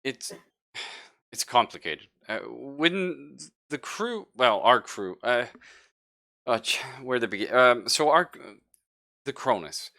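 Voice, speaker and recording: A man voicing a hesitant, evasive ship's doctor in a sci-fi tabletop game, explaining things haltingly. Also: medium pitch, lively intonation, bright, forceful tone, no echo, clean studio sound, voice pushed up, heavily acted. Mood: uneasy, evasive. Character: Flynn, hesitant evasive ship's doctor waking from long cryosleep.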